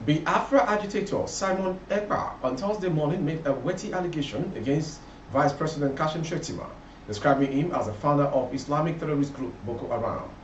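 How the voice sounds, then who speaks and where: A man's voice: on the high side, brisk. A man with a Nigerian accent reads a scripted news bulletin in a formal, declarative manner. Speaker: news reader. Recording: roomy, muffled, distant, faint background noise.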